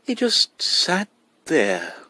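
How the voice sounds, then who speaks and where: A man voicing a cheeky, boastful kindergarten boy, loud and excitable, as a storybook character. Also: fairly high pitch, very slow, dry recording, voice pushed up, heavily acted. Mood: eager. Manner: insolent, excitable.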